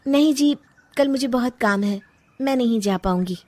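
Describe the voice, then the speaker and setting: A woman voicing an unhappy young bride in a cartoon story, resentful and quick to snap, sometimes tearful. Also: on the high side, a little mellow, pitched above the performer's own voice, natural voice. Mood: curt. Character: young unhappy bride, frustrated, sharp-tongued.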